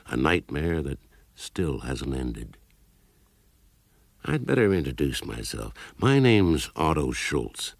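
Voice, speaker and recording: An American actor playing a grave, world-weary senior CIA officer who confides his story in a gravelly voice. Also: very deep voice, no echo, exaggerated voice. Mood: wistful, brooding. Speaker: actor narrating in character. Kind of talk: confessional first-person radio drama narration.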